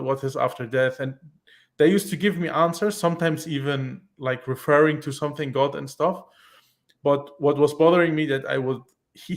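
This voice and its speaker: A man explaining earnestly on a call-in talk show. He speaks in halting bursts with many restarts. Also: mid-range voice. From Iran, raised in Germany. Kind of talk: casual explanatory chat.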